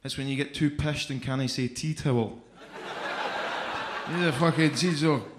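A man's voice: mid-range voice, fairly even intonation, normal speed, reverberant, very noisy. A man with an Edinburgh Scottish accent doing a chatty stand-up set, often tagging lines with "right?". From Scotland. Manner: chatty, keeps adding "right?". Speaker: stand-up comedian. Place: comedy club stage.

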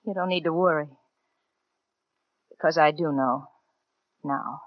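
A woman's voice: deep voice, unhurried, no room sound. An actress playing an anxious, pleading young gangster's girlfriend in a 1940s radio crime drama. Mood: subdued. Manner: pleading, earnest. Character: anxious, conscience-stricken girlfriend and robbery accomplice. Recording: muffled 1940s radio recording.

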